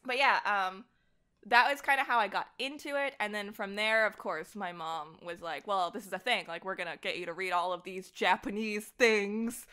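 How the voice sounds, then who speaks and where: Young woman guest on a podcast, bright voice, casual storytelling, acts out little quotes. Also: medium pitch, normal speed, clean tone. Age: young adult. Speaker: podcast guest, voice actor and director. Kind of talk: casual chat, personal storytelling.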